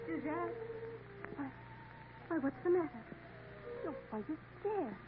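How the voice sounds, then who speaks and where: A woman's voice: very high voice, animated, slow pace, background noise, full character voice. A woman playing a polite young maid in a 1950s radio drama. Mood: concerned. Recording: narrow lo-fi 1950s radio broadcast.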